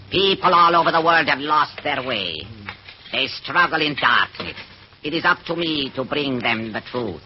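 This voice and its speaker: An actor playing a coolly murderous cult leader in 1940s radio drama style, gloating and theatrical. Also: male voice, on the high side. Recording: muffled, noisy old radio recording.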